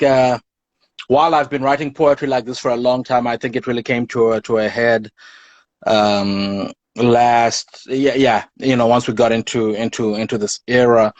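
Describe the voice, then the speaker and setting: A man's voice: mid-range voice, no echo. Reflective man from Zimbabwe, dull dry sound. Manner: reflective, searching for words, many restarts. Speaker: podcast guest, poet. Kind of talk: casual interview talk.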